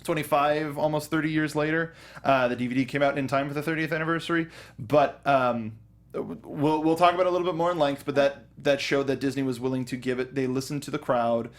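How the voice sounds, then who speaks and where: A man's voice: fairly high pitch, steady tone, brisk. A man co-hosting a film review show, talking casually with a fan's enthusiasm. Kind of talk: casual chat and commentary.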